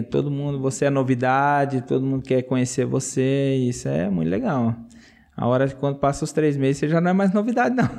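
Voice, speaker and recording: Earnest missionary man, mellow voice. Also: medium pitch, vocal fry. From Brazil. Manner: earnest, laughs often, repeats words. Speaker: missionary guest. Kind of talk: casual interview testimony.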